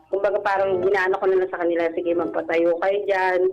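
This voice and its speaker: A woman's voice: on the low side, flat delivery, quick pace. A Filipino woman calling in to a radio show, telling a personal story politely and a little hesitantly. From Philippines. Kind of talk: personal story told in conversation. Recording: dull call audio, faint music behind.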